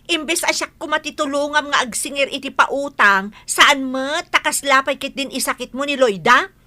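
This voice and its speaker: A woman with an Ilocano accent playing a stingy, sharp-tongued village wife in a radio comedy drama, scolding and exclaiming. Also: high-pitched, normal speed. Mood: reproachful.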